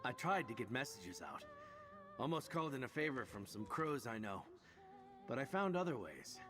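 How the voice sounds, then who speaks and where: Roguish game hero, animated pitch, wry, sincere, haunted undertone, soft music behind. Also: male voice. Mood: troubled. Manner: wry banter turning earnest and reflective. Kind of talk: video game voice acting.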